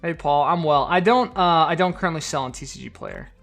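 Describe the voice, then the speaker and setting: A man's voice: a little high, a little fast, gravelly, fairly bright tone. Man, casual stream chat, reads donations, light background music. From United States. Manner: chatty, reads viewer donations aloud. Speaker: card collector streamer.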